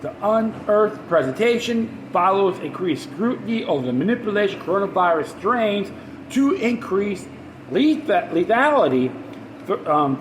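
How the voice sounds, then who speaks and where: High-voiced man ranting in an echoey room. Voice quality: high-pitched voice. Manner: sarcastic asides, stumbles over words while reading. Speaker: podcast host. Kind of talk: ranting commentary while reading news articles. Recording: echoey room with background noise.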